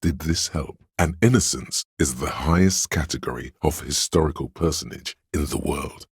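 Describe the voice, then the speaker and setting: A man voicing a video game's know-it-all inner voice of encyclopedic trivia, erudite and measured, with a gravelly, husky, very deep delivery. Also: no echo, clean studio sound, exaggerated voice. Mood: self-assured. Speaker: video game voice actor. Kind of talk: scripted game narration.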